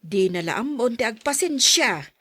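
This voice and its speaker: A woman's voice: fairly high pitch, very animated, unhurried, fairly bright tone, full character voice. A woman acting a sympathetic, indignant mother figure in a radio drama, commiserating as she speaks. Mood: indignant sympathy.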